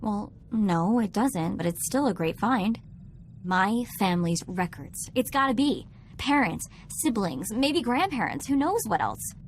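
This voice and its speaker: An actress playing an eager young hacker sidekick in a video game, chatty, rambling and a little hesitant. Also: female voice, mid-range voice, big pitch swings, measured pace, slight background noise. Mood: hopeful, rambling.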